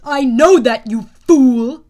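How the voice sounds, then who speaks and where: A woman voicing a brutal, imperious male general with a strong, growling, forceful delivery. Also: medium pitch, animated, unhurried. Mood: angry, demanding. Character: booming, brutal, commanding giant male general.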